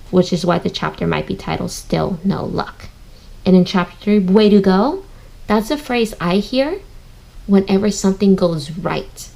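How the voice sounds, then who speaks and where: A woman's voice: medium pitch, creaky, darkish tone, poor audio. A woman teaching a children's read-aloud reading lesson, addressing her listeners directly and thinking aloud.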